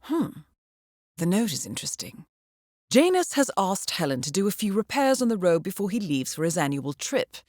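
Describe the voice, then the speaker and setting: An actress playing a cool, dryly witty spy handler in a video game, with a British accent and a measured, polished, understated delivery. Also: female voice, medium pitch, sing-song, a little mellow, dry recording, clean studio sound. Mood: briefing, composed. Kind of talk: scripted video game voice acting, mission briefings.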